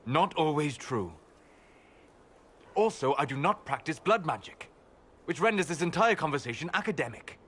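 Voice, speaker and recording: An actor playing a composed, stern, scholarly mage in a video game, speaking precisely and formally. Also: male voice, a little high, animated, moderate pace, pauses often, fairly bright tone, husky. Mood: firm, disapproving.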